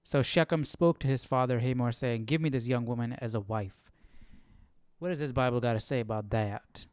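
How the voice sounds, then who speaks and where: A man's voice: medium pitch, moderate pace, a little mellow, clean tone, no echo. A man hosting a live Bible study, reading aloud and musing informally in casual commentary. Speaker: host of a Bible study stream.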